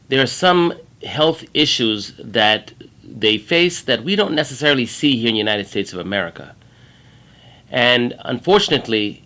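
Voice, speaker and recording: A man from Kenya, a middle-aged infectious disease doctor, giving a measured, earnest talk about his work. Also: mid-range voice, sharp tone. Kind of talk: prepared career talk to camera.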